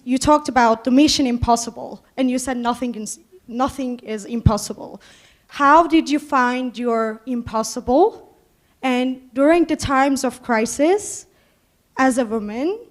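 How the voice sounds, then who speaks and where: Young woman from Turkey, higher-pitched, slow and hesitant with fillers. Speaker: student asking a question.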